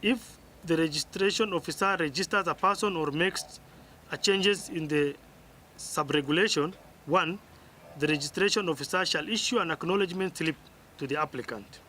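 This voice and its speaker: Kenyan man, official reading a ruling formally and haltingly; fairly high, sharp voice with faint background noise. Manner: halting, stumbles and repeats words. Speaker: electoral commissioner reading a ruling.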